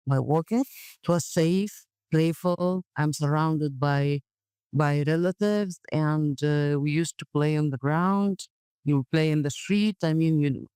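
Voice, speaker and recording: A woman's voice: low voice, darkish tone, clear, resonant tone, no room sound. A woman from Gaza, answering interview questions in a blunt, matter-of-fact way. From Gaza, Palestine. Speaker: podcast guest, community development worker.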